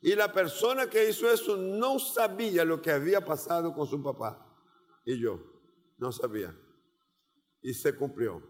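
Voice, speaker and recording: A man's voice: on the high side, expressive. A man with a Portuguese accent delivers a sermon in a slightly raised voice, taking his time over each phrase. His words ring out with the long reverb of a large hall. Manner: slow, deliberate preaching with measured pauses. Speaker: missionary preacher. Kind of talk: sermon with personal testimony.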